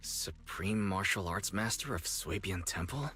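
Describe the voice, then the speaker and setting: A man's voice: sits low, lively intonation, measured pace, bright, forceful tone, full character voice. A man voicing a curious guide in a video game, casual and inquisitive in manner. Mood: puzzled, curious. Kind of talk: English video game voice acting.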